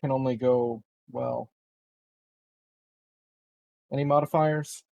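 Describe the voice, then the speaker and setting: Slow, gravelly man playing a tabletop game. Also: medium pitch, fairly even intonation, a little mellow, no echo. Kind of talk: casual game chat.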